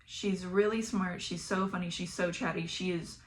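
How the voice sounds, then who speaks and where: A young woman with a Southern American accent, chatting flatly and casually while doing her makeup, sometimes dropping to a mumble or whisper. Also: on the low side, normal speed, a little hiss or hum. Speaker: beauty vlogger. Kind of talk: casual get-ready-with-me chat.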